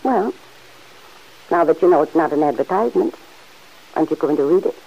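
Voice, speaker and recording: Aunt Mary, lively motherly farm aunt. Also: low-pitched. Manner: gently chiding, motherly, quick to laugh. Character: Aunt Mary, kindly middle-aged farm aunt. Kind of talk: 1940s radio acting. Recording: dull old radio recording.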